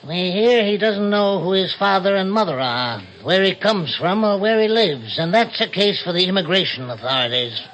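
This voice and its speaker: An actor playing a fussy, self-important immigration officer in an old-time radio comedy, formal and officious. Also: male voice, high voice, lively intonation, normal speed, few pauses, a bit bright, slight background noise, rough recording. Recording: vintage radio recording, faint music behind.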